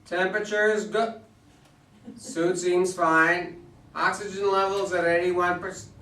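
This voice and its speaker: A man playing a joking, scatterbrained astronaut in an amateur play reading, with halting, slightly muddled radio talk. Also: sits high, little pitch movement, pushed and bright. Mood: breezy, loose. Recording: echoey room, distant microphone.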